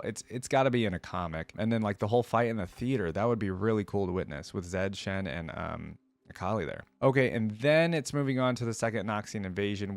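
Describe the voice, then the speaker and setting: Male reaction host, lowish pitch, dry recording; reads aloud, then riffs informally, thinking out loud. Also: creaky, no room sound. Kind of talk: reading wiki text aloud with casual commentary.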